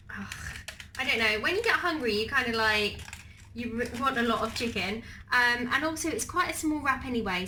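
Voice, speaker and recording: A woman's voice: on the high side, bright, forceful tone, a little hiss or hum. A young woman with a slight cold, chatting casually in a cooking and eating vlog, rambling with many ums and restarts. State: has a cold, slightly wheezy. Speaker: vlogger.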